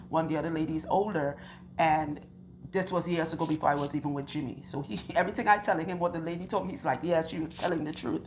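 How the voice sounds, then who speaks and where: A woman loctician with a husky, hoarse voice, talking slowly and earnestly to camera while interviewing a client in her salon. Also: fairly low pitch, slight background noise. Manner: earnest, chatty, asks questions and recounts stories. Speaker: loctician and channel host. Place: hair salon.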